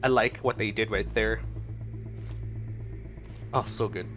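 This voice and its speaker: A man's voice: fairly low pitch, fairly even intonation, slow pace, fairly bright tone, slight background noise. A man giving casual, off-the-cuff reaction commentary as a gaming streamer. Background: game music.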